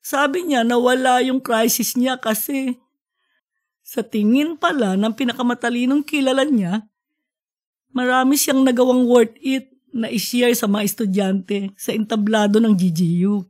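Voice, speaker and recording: A woman's voice: fairly high pitch, normal speed, a little mellow, lightly acted. A woman voicing a distraught, self-blaming woman professor in an audiobook story. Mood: regretful. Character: distraught, guilt-ridden woman professor drinking in a bar.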